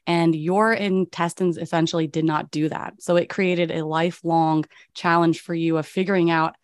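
Calm low-voiced woman hosting a podcast. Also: normal speed, clear, resonant tone, dry recording, studio quality. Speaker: podcast host. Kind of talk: interview podcast.

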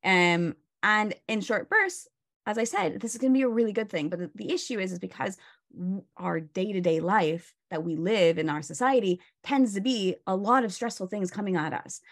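A woman's voice: mid-range voice, expressive, moderate pace. A woman burnout coach, a guest on a video call, explaining stress in a casual, instructive way with many "you know"s and "right?"s.

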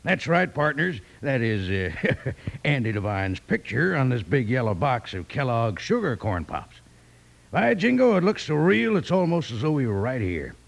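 An actor playing a chummy, folksy cowboy pitchman with a gravelly voice in a 1950s children's radio commercial. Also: normal speed. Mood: jovial. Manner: animated, folksy Western exclamations.

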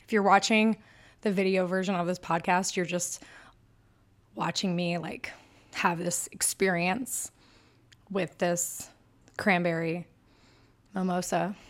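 A woman's voice: fairly low pitch, fairly even intonation, slow, long pauses. A woman with a Michigan accent hosting a solo podcast, talking in a bubbly, chatty and upbeat way. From Michigan, USA. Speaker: podcast host.

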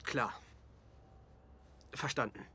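A man's voice: extremely slow, sharp tone, slight background noise. An actor playing a compliant, matter-of-fact male agent in German series dialogue.

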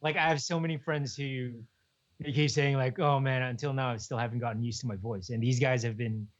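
A young man, co-hosting a podcast in relaxed, chuckling casual chat. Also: medium pitch, a little fast. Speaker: podcast co-host. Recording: dull lo-fi podcast audio.

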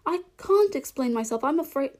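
A woman's voice: high-pitched, expressive, moderate pace, a little mellow, pitched above the performer's own voice. A woman voicing a storybook little girl who is polite, puzzled and a little hesitant. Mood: shy, polite. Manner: polite, shy, hesitant, wordy.

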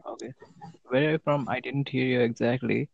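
A man from India hosts a casual chat over an online voice call, repeating himself as the line drops. His voice is gravelly and husky, and he talks quickly. The call audio sounds dull and lo-fi. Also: medium pitch, steady tone, no room sound. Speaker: podcast host.

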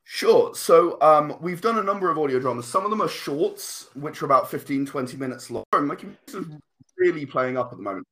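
A man's voice: on the high side, animated, fairly quick, a bit bright. A man speaking as an interview guest, thoughtfully explaining his creative work at length in conversation. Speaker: comic and game writer, interview guest.

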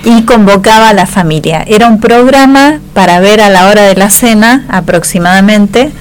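A woman co-hosts a casual radio conversation in a medium-pitched voice. She is agreeable, often echoing and affirming what was said. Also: normal speed. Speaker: radio co-host. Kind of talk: casual on-air chat.

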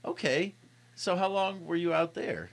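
A man's voice: high voice, measured pace, creaky, poor audio. A man from Miami hosting a live radio talk show in a casual, jokey way. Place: radio studio.

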